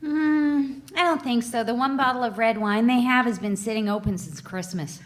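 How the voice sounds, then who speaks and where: A woman's voice: on the high side, normal speed, natural voice. A woman reading a dry, wry character at a live table read. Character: wry woman with a shy secret.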